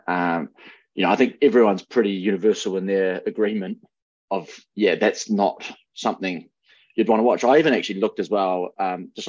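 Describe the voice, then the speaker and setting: A man with a New Zealand accent talks sport in a casual, conversational way. His voice sits on the low side, and the recording is dry and a little dull. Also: a little mellow, breathy edge, no room sound. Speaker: sports podcast panelist.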